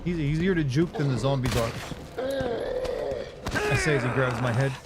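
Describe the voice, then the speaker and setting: Man, game streamer, casual chat, cursing outbursts, mid pitch, noisy background. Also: normal speed. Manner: reactive, swears and yells at deaths, thanks viewers. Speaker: streamer playing a horror game. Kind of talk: casual live-stream commentary.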